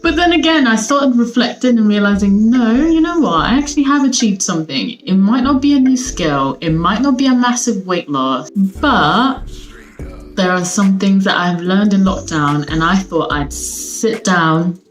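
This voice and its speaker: A woman's voice: mid-range voice, animated, moderate pace, faint music behind, poor audio. A young woman from Sweden, chatting casually and cheerfully to her viewers from home. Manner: chatty, upbeat, lots of fillers. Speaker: vlogger. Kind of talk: casual chat to camera. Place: at home, seated.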